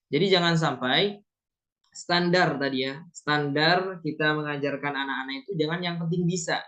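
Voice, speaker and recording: A man's voice: on the high side, a bit bright. A man teaching a training course on Quran reading, explaining patiently and punctuating his points with short affirming fillers. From Indonesia.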